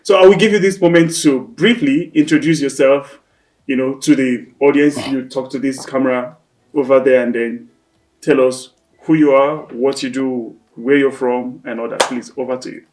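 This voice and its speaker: A man's voice: medium pitch, fairly even intonation, normal speed, darkish tone, low-quality recording. A man hosting a student talk show in a studio, introducing guests and rambling loosely about the series. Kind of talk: casual studio interview.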